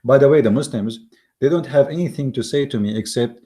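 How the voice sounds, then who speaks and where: A man with an Arabic accent whose first language is Arabic, giving argumentative commentary in mocking, emphatic English with repeated words and halting restarts. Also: medium pitch, warm tone. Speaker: online commentator. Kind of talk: argumentative commentary reading from an article.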